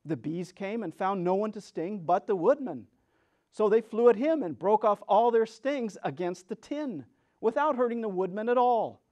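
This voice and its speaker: High-voiced man reading a story dramatically. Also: normal speed, dull audio. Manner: theatrical, expressive. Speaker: storyteller, reader.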